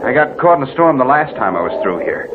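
A man's voice: mid-range voice, big pitch swings, quick pace, lightly acted. An actor playing a sullen, stubborn husband in an old radio drama, curt and insistent in his delivery. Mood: urgent, commanding. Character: sullen, cross, stubborn husband with commanding urgency. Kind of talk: 1940s radio drama acting. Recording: very noisy, tinny old radio recording.

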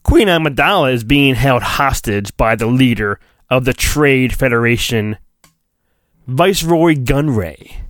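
Theatrical man reading storybook, very animated pitch. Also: no room sound, clean studio sound. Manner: theatrical, drawn-out emphasis, flippant asides. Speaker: podcast host doing a solo storybook reading. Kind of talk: mock-dramatic children's storybook reading with crude asides.

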